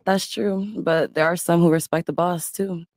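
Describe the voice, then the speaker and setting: A woman's voice: lowish voice, fairly even intonation, moderate pace, a little mellow, no echo. Rambling woman guest, dry sound. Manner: rambling, filler-heavy. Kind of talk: casual chat.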